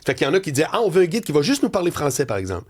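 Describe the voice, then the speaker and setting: A middle-aged man with a Quebec French accent, telling animated stories on a radio talk show and often laughing. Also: rapid-fire, husky. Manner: storytelling, laughing, swinging pitch. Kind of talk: animated editorial chat. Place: radio studio.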